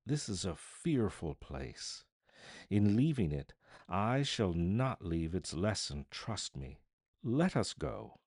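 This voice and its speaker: A man's voice: lowish voice, big pitch swings, slow, darkish tone, no echo, clean studio sound, heavily acted. A man playing an anguished, fearful old man in a storybook reading, solemnly beseeching.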